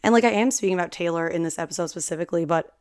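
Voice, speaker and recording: A woman in her twenties, slightly hoarse, hosting a solo podcast in a chatty, rambling, big-sister way. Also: on the low side, racing, a little mellow, dry recording, clean studio sound. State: slightly hoarse from singing at a concert. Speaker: podcast host. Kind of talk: casual solo podcast chat.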